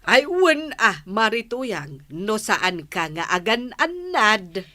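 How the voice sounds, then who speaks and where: A woman as slow-talking, bright village gossip. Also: medium pitch, big pitch swings, no echo, heavily acted. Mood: gossipy, warning. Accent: Ilocano Filipino. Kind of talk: Ilocano comedy radio drama acting.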